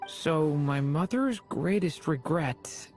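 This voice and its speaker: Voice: medium pitch, animated, slow pace, a little mellow, faint music behind. An actor playing an innocent, unsure young dragon boy in a video game, speaking earnestly and politely. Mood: curious, wistful.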